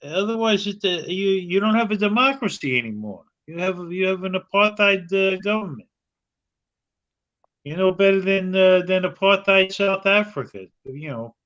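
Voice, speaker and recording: An American man speaking in an unscripted political discussion, haltingly, repeating words and often checking that he is understood. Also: sits high, animated, fairly bright tone, muffled recording. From United States. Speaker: interview guest, social advocate.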